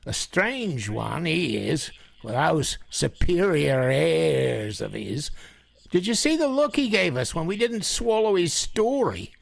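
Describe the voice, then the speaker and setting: Guy doing gruff doubting old farmer for radio play, husky n super croaky, takes his time. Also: on the high side, sing-song, takes it slowly, no echo, exaggerated voice. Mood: scornful. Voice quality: gravelly, husky. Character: gruff, dismissive, skeptical older countryman farmer. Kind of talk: radio drama acting.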